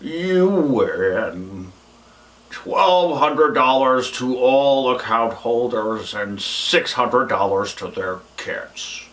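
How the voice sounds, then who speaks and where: A man voicing a weary old villain in a comedy sketch, slow and grudging, recorded at a distance from the mic. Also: medium pitch, lively intonation, fairly bright tone.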